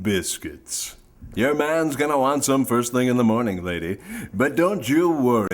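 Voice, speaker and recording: A man playing a slick, cheeky variety show host delivering a theatrical old-time radio sponsor pitch. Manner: smarmy, theatrical, innuendo-laden. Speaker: announcer and host.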